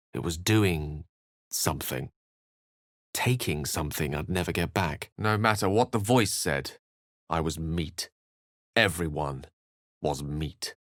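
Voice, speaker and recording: A learned wizard from a fantasy game, speaking in a lowish register. He is articulate and erudite, with a theatrical turn to his phrasing, and the recording is close and dry. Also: a bit bright, no room sound, heavily acted. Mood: hushed, ominous. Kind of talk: video game character acting and narration.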